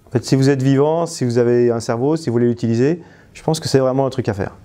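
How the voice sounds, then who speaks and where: A man's voice: medium pitch, fast talker, warm tone. A man, a trainer and stress coach, giving a spontaneous testimonial, hesitant, restarting phrases and trailing off with filler words. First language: French.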